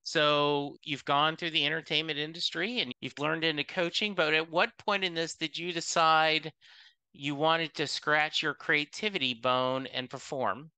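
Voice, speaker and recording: Bright, crisp man hosting a podcast. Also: a little high, steady tone, no echo, low-quality recording. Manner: rambling, with restarts and drawn-out words. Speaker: podcast host. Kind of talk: casual interview chat.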